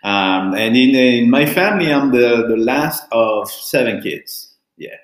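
A man's voice: mid-range voice, animated, unhurried. A man whose native languages are French and Italian, speaking English and telling his life story in a candid, rambling way with many restarts and fillers. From born in Cameroon, raised in Italy and France. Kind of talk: casual personal storytelling. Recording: roomy echo.

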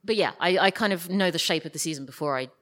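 A woman with a low, bright voice, talking as a guest at a live interview. She explains her working methods informally, in loose, meandering sentences. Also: quick pace. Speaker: guest, audio drama writer. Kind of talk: live interview, casual chat. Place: live podcast festival stage.

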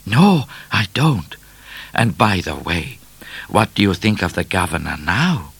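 A man voicing a sensible, knowing talking cat in a children's story, in a measured manner. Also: low voice, big pitch swings, vocal fry, a bit bright, breathy edge, full character voice. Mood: cautious, matter-of-fact. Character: sensible, matter-of-fact talking cat, outlaw's companion.

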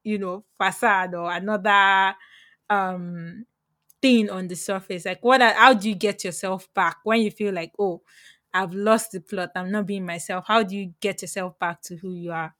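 A woman hosts a relaxed conversation and asks long, thoughtful questions. Her recording is dry and close. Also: mid-range voice, moderate pace, no echo. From Nigeria. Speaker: host. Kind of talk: casual chat.

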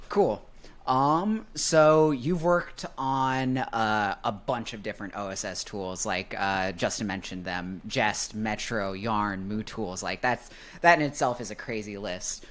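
A man's voice: fairly low pitch, takes it slowly, fairly bright tone. A man hosting a tech podcast, opening the show and asking the guest questions with a few fillers. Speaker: podcast host. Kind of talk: casual podcast interview.

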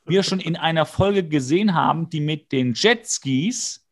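A man with a slightly high-pitched voice co-hosts a casual podcast. He retells a TV episode with comic animation, breaking into exasperated, slangy outbursts. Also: lively intonation, measured pace. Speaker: podcast co-host. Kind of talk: casual comedic episode recap.

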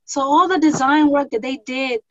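A woman in her forties from New York City, a guest artist introducing herself in a thoughtful, rambling way with pauses. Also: sits high, no room sound. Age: 40s. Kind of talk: casual self-introduction. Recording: dull lo-fi sound.

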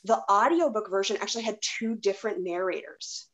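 Female podcast host, mid-pitched voice, chatty and anecdotal, recorded a bit dull and lo-fi. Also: fairly quick, a bit bright. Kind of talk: casual chat. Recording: slightly dull, lo-fi microphone.

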